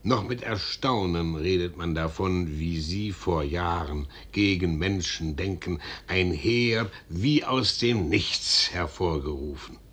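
An actor playing a blunt, reserved colonel sent as envoy in a 1950s radio play, with formal, deliberate stage diction. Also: male voice, deep voice, fairly bright tone, husky, exaggerated voice. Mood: measured, probing.

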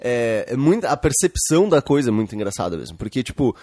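A man's voice: mid-range voice, quick pace, dry recording. Man, young, Brazilian Portuguese accent, podcast co-host, slangy storyteller, dry close sound. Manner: animated storyteller, lots of slang and fillers. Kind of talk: casual chat.

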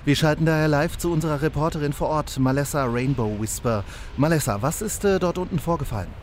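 A man's voice: on the high side, a little hiss or hum, close to an everyday voice. A man putting on a formal male news anchor interrupting a broadcast in a parody audio drama. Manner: fast formal announcing.

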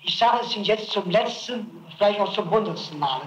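A man's voice: high voice, lively intonation, brisk, dull audio. Man, suspect under interrogation, insistent, stammering, roomy room, faint tape hiss. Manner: defensive, insistent, stammers repeatedly. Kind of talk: archival interrogation recording.

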